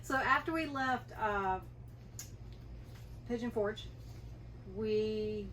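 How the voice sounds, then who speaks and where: Over clearly audible noise, a woman chats in a relaxed, unscripted way as a travel vlog co-host. Her mid-pitched voice is picked up from a little distance. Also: very slow. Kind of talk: casual unscripted chat with partner. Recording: distant mic, clearly noisy background.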